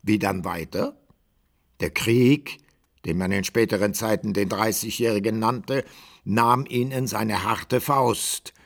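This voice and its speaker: An actor playing an old-time author who narrates a radio drama in measured, dramatic and archaic phrasing. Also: male voice, fairly low pitch, gravelly, full character voice. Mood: measured storytelling. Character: the author as measured, literary storyteller.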